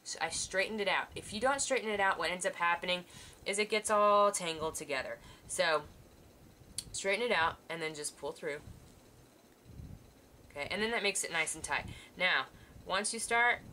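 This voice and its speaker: A woman's voice: lowish voice, normal speed, pushed and bright, a little hiss or hum. A woman art teacher giving a patient, reassuring step-by-step sewing demonstration while working with her hands at a table. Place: indoors at a table, overhead camera on hands.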